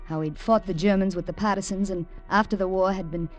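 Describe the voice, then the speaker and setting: A woman's voice: medium pitch, fairly even intonation, brisk, lo-fi sound. A woman narrating a 1950s radio drama in a tense, dramatic way. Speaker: narrator and lead character. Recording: old radio recording with music score.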